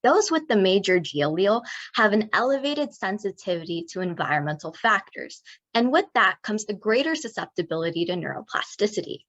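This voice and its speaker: A woman's voice: mid-range voice, big pitch swings, fairly bright tone. Young woman on a dull lo-fi mic. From New Jersey. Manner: measured, precise technical reading. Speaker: student presenter. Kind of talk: read research presentation.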